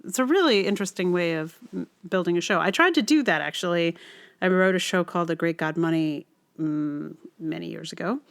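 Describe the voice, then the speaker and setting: A woman's voice: on the low side, brisk, vocal fry. Casting: female podcast host, casual and rambling, reflective, hesitant, trailing off mid-thought. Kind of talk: casual rambling introduction to a song.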